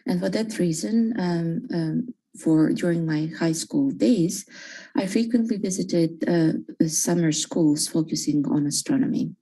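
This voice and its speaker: A woman professor from Croatia giving a measured presentation, with frequent fillers. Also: fairly low pitch, mellow, husky, rough recording. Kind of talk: presentation talk.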